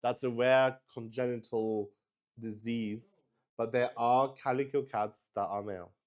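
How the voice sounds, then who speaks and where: Slow-paced man, casual and hesitant. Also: medium pitch, fairly even intonation, darkish tone. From Luxembourg. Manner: hesitant, thinking aloud. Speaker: solo roleplay stream player. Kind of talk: casual improvised chat while playing.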